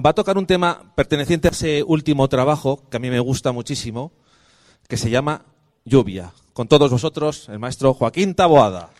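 A man's voice: medium pitch, expressive, normal speed, a little mellow, no room sound. A man presenting a gala, announcing the next musician with upbeat introductions. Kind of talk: stage announcing.

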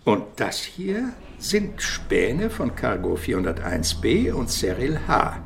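An actor playing a worried, meticulous male police commander, a loyal deputy explaining things deliberately and hesitantly in a crime radio drama. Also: medium pitch, wildly swooping pitch, vocal fry, exaggerated voice. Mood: dry, pedantic.

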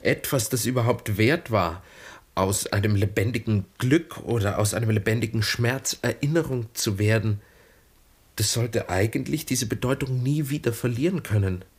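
An actor playing an earnest, wistful lieutenant of twenty-three in a 1960s German radio play, speaking formally and gently probing. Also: male voice, medium pitch, normal speed, breathy edge, natural voice. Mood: earnest, gentle. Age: young adult.

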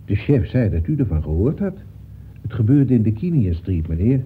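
Low-voiced plodding policeman. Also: very animated, moderate pace. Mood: polite, official. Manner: roundabout, official, punctuates with polite address. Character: plodding, chatty, deferential police officer. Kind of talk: Dutch radio play acting. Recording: muffled, noisy old radio play recording.

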